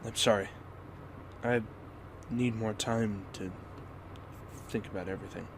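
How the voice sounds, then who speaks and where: Male actor as uncertain, troubled youth, halting delivery, lowish pitch, radio play, light background noise. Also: steady tone, creaky. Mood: hesitant, subdued. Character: hesitant, troubled young man carrying a burden. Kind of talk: radio-play acting in a fan dub.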